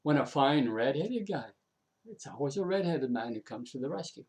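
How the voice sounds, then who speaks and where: A man telling a folk tale aloud in a dramatic, unhurried way, repeating phrases for effect and dropping in conversational asides. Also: medium pitch, lively intonation, darkish tone. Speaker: storyteller. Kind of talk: oral folk tale storytelling.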